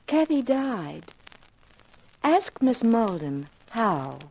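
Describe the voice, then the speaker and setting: A coy, animated radio flirt. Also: female voice, fairly high pitch, extremely slow, full character voice. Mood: cryptic, coy. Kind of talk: 1950s radio drama acting. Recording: noisy vintage radio recording with clicks.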